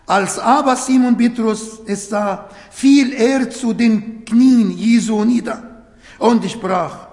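A man with a fairly high voice preaches slowly and emphatically in a language that is not his first, stumbling over small points of grammar. His words ring out with a long reverb, as if he stands in a large church hall. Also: a little mellow. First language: not German. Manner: non-native German with grammar slips, slow emphatic preaching. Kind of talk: sermon.

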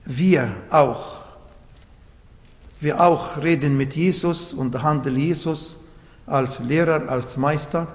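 A man preaching a sermon in German, which is not his first language, slowly and emphatically, with small grammar slips. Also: fairly high pitch. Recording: long hall reverb.

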